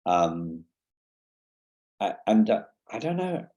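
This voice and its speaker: Halting male podcast host with a mellow voice. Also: fairly low pitch, big pitch swings, lo-fi sound. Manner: halting, hesitant, repeats words while forming questions. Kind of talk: conversational interview.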